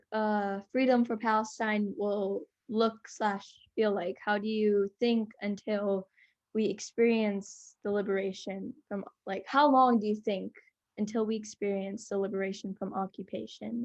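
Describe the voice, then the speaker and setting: A woman hosting an interview, asking questions gently and feeling for her words. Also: mid-range voice, steady tone, a little slow, muffled recording. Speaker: interviewer host.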